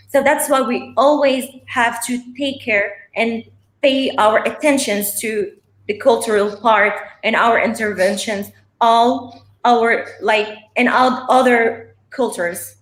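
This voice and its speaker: Moroccan-born woman panelist, non-native English, halting, echoey room, bright higher voice. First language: not English. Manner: hesitant, many fillers and restarts. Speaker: panelist, behavior professional. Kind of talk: panel discussion.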